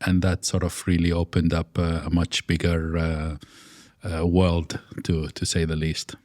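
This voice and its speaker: A man's voice: low voice, completely flat delivery, a little slow, a little mellow, no echo, clean studio sound. A middle-aged man from Iraq, an architect guest in an interview, speaking with frequent fillers and restarts. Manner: hesitant, many fillers and restarts. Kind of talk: conversational interview.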